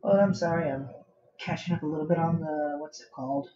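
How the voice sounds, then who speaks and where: A man's voice: fairly high pitch, brisk, mellow. A young man rambling casually and self-deprecatingly on a livestream while he draws. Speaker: art livestreamer. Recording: muffled home mic with background noise. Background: background noise, laptop cooling fan.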